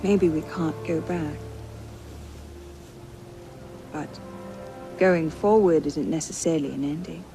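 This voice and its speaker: An actress playing a bold, sharp-witted young woman assassin, crisp and teasing. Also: deep voice, normal speed, soft background music. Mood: wistful.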